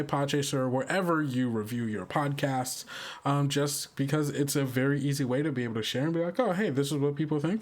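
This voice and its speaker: Informal man hosting a tabletop podcast. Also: fairly high pitch. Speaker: game master and podcast host. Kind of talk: casual podcast sign-off and chat.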